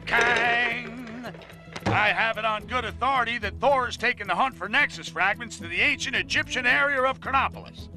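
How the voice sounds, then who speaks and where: A man's voice: very high voice, normal speed, music in the background. An actor playing a blustering, self-important male newspaper editor giving a live news broadcast in a game cutscene. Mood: bombastic. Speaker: news anchor. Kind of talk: video game cutscene voice acting.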